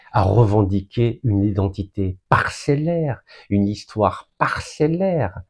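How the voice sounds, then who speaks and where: Low-pitched gravelly man speaking slowly, an Algerian political speaker making a deliberate case. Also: warm tone. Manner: emphatic, deliberate, building lists. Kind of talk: public speech.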